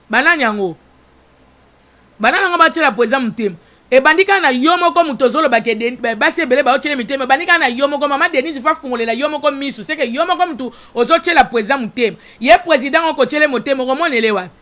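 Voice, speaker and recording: A woman's voice: sits high, fairly bright tone. A woman with a Congolese accent giving an informal, opinionated talk as a show host, emphatic and repetitive with rhetorical questions.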